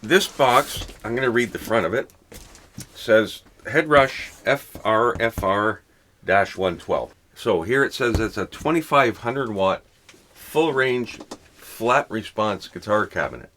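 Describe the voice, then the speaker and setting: Casual gear reviewer, male, lower-pitched, hesitant and unscripted, with some noise behind him. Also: expressive, slow, fairly bright tone. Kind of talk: casual unboxing and gear demo. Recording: clearly noisy room recording.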